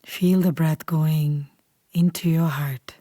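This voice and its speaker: A woman leading a guided meditation in a calm, unhurried, soothing way, softly and at times almost whispering. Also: sits low, no room sound, studio quality. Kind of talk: guided meditation, slow scripted instruction.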